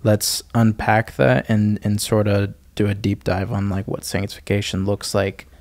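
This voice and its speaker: A man's voice: on the low side, level tone, moderate pace, darkish tone, no echo. A young man co-hosting a podcast, speaking in a casual, conversational way about faith. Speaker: podcast co-host.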